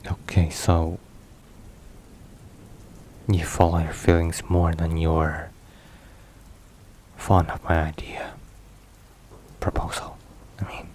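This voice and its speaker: A man playing a brooding but tender mafia boss boyfriend in an intimate ASMR roleplay, murmuring haltingly with hushed pauses. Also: low-pitched, little pitch movement, a little hiss or hum. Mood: touched, awkward. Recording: rain and thunder behind voice. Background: rain and thunderstorm ambience.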